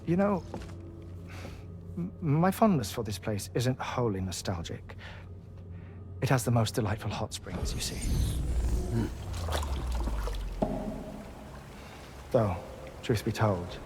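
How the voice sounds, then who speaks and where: An actor playing a genial, scholarly investigator in a video game, speaking in a formal, courteous, wordy way. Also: male voice, mid-range voice, lively intonation, a little slow, pauses often, noisy background, natural voice. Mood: genial, relaxed. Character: genial, scholarly male investigator with old bones. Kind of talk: video game cutscene acting. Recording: game dialogue over clear music.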